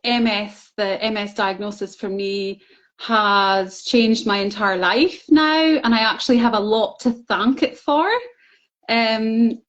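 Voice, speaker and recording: A middle-aged woman shares her story in an open, earnest way, filling pauses with "um". Her pitch sits in the middle of the range. The audio is a bit muffled and lo-fi. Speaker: guest, book contributor. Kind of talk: casual interview chat. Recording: slightly dull, lo-fi audio.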